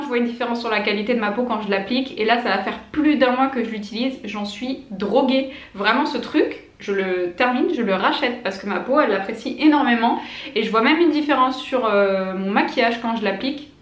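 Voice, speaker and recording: Chatty high-voiced woman in an echoey room. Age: late 20s. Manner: chatty, enthusiastic, many fillers and restarts. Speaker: beauty vlogger. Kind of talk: casual product review to camera.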